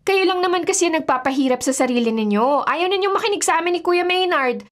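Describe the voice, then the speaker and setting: Casting: self-centred, lazy young daughter, high-pitched, whiny and dismissive radio drama read. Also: female voice, lively intonation, fast. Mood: sulky, demanding. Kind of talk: Filipino radio drama acting.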